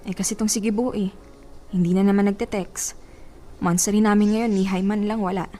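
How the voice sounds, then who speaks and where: A woman's voice: mid-range voice, steady tone, fairly quick, warm tone, lightly acted. An actress playing a heartbroken young woman whose boyfriend cheats, pleading and tearful, in a radio drama. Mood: worried.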